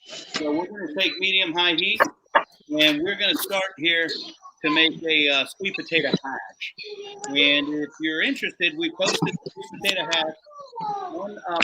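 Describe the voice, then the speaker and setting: A man with a fairly high-set voice hosts a casual live cooking demo. He talks in loose slang and hypes each dish. Clear noise and clicks sit behind the voice. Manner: slangy, hyping the food. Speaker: host chef of a live cooking show.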